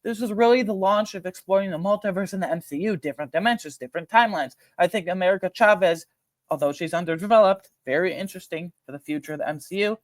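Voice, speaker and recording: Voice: mid-range voice, quick pace, no room sound. A person giving casual, opinionated film commentary as a host, speaking informally and repeating phrases for emphasis. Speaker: host ranking films.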